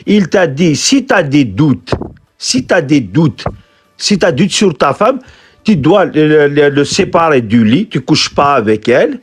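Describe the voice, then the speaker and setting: A man debating on a live stream, halting and repeating himself as he argues. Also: on the high side, very animated, slightly rough. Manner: halting, repeats words and restarts, argumentative. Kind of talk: heated live online debate.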